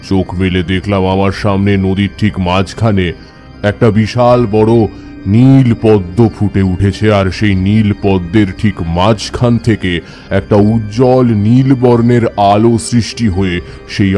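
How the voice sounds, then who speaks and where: A man's voice: fairly low pitch, steady tone, unhurried, warm tone, soft background music, close to an everyday voice. A man playing a devout tantric ascetic, narrating his eerie encounters in an intense, dramatic audio story style. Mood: awed, suspenseful. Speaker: first-person narrator. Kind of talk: dramatic scripted horror narration.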